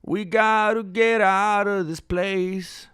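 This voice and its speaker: A man in casual live-stream chat with a high, smooth voice and a dry, close sound. He jokes loosely and slips into snatches of song. His voice is wearing thin from too much talking. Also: fairly even intonation, slow, fairly bright tone, no echo. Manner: jokey, breaks into off-key singing and ad-jingle bits. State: losing his voice.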